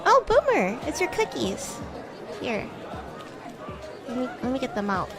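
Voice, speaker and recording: A woman plays a bratty young demon schoolgirl in a livestream roleplay. Her high voice swings up and down at an unhurried pace as she teases, stammers and gets defensively haughty. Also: wildly swooping pitch, over music, crowd noise, heavily acted. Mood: bossy, teasing. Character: bratty, flustered young female demon classmate, playfully haughty. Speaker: VTuber streamer.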